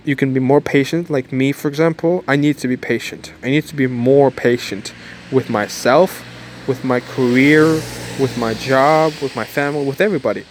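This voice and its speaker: A man's voice: medium pitch, slight background noise. Young man rambling a pep-talk. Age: young adult. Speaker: vlogger. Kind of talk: casual motivational vlog. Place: outdoors in the cold.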